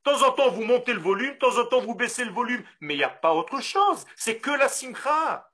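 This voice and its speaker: Lively Israeli rabbi teaching in French. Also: male voice, very high-pitched, brisk, husky. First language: Hebrew. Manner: animated, mixes Hebrew terms, rhetorical questions. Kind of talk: Torah lesson.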